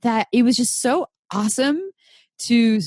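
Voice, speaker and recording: A woman's voice: on the high side, unhurried, darkish tone, no echo. Enthusiastic woman podcast host, dry close sound. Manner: gushing, informal, enthusiastic. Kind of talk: casual podcast chat.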